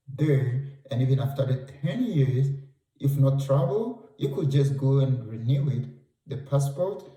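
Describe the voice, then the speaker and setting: A man's voice: mid-range voice, warm tone. A man from Ghana with a Ghanaian English accent, giving travel advice to camera and repeating key points for emphasis. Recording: roomy sound, distant from mic.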